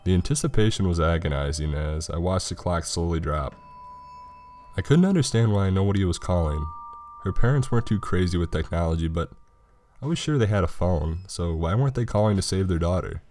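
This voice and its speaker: A man's voice: low-pitched, lively intonation, brisk, darkish tone. A man reading a scripted horror story as its narrator. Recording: music behind the voice. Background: background music.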